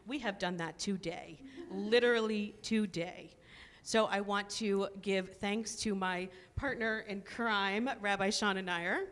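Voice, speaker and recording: A woman's voice: mid-range voice, unhurried, a bit bright. A woman welcoming guests and thanking helpers before a worship service, chatty and upbeat with frequent laughs. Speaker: congregation leader welcoming guests. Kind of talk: casual spoken welcome and thanks. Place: synagogue sanctuary. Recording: long hall reverb.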